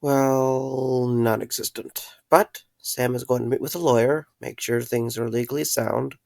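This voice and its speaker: Male actor as an earnest, worn-out young man; hesitant, halting delivery, dry mic. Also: medium pitch, steady tone, gravelly, a little mellow, no echo. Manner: hesitant, with filler words. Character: young man reopening a pizzeria, tired but earnest. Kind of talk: fan audio drama acting.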